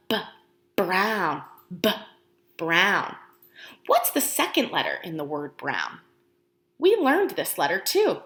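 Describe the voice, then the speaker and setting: A woman preschool teacher reading aloud to young children, encouraging them with questions and pauses, using exaggerated, expressive emphasis. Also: medium pitch. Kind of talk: animated children's read-aloud and lesson. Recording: roomy indoor echo.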